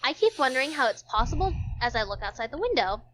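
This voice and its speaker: A woman's voice: sits high, big pitch swings, fast talker, fairly bright tone, a little hiss or hum. A woman reading visual novel text aloud for a stream, stumbling now and then and dropping in casual joking asides.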